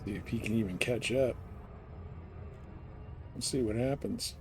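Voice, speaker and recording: A man's voice: medium pitch, measured pace, music in the background. A man giving casual live gameplay commentary with a dry, wry manner. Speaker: game live streamer. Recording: noisy game stream.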